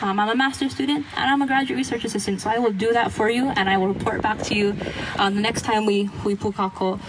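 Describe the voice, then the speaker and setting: A woman's voice: medium pitch, fairly even intonation, fast, background noise. A young woman from Hawaii speaking passionately at an outdoor gathering, casual with self-deprecating asides. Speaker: activist speaker. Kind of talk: speech at a rally.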